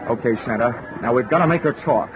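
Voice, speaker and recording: An actor playing a wry, sardonic hard-boiled insurance investigator in a 1940s radio detective drama. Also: male voice, mid-range voice, fast talker, music in the background, lightly acted. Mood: hard-boiled narration. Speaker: lead actor and narrator. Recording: noisy, muffled 1940s radio recording.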